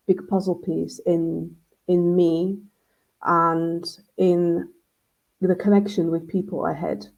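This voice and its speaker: Slow-spoken woman, hesitant. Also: on the low side, vocal fry, dark tone, rough recording. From Slovakia. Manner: hesitant, many ums, nervous laughs. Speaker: guest, end of life doula facilitator. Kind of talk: casual conversation.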